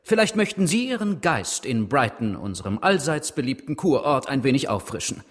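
An actor playing a proud, eccentric English gentleman inventor in a German radio drama, polite but cutting and excitable. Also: medium pitch, moderate pace. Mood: defiant, cutting.

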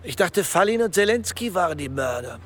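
An actor playing a sly, jovial nationalist police lieutenant in a radio play, with needling familiarity. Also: male voice, high voice, very animated, a little fast, a bit bright, slight background noise. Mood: veiled threat.

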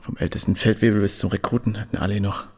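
An actor in a German radio play voicing a laconic, war-weary artillery soldier back home, dry, curt and colloquial. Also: male voice, fairly low pitch, level tone, fast, a little mellow, breathy edge, long reverb tail.

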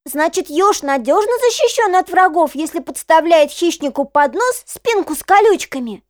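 An actress playing a curious, eager little granddaughter in a children's audio play, asking questions in a polite, childlike way. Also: female voice, extremely high pitch, expressive, normal speed, dry recording, clean studio sound.

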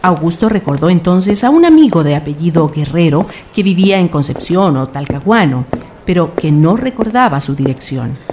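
Radio drama narrator: Chilean woman, slightly low register, noise clearly behind her. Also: animated, normal speed.

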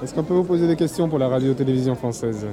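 A man's voice: mid-range voice, racing. A man working as a French television reporter who asks passers-by polite questions on a city street. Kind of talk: street vox-pop interviewing. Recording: street crowd noise.

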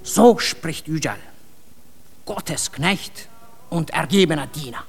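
A woman playing a stern, devout believer in a radio drama, proclaiming solemnly and deliberately in a husky voice. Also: deep voice, animated, exaggerated voice. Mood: prophetic, fervent. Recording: long reverberant hall, music underneath.

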